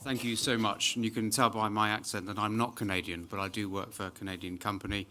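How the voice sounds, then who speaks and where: A man's voice: fairly low pitch, fairly even intonation, fast, sharp tone, slight background noise. A man making brief, self-deprecating sponsor remarks at a formal reception. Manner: self-deprecating, lightly joking. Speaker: corporate sponsor executive.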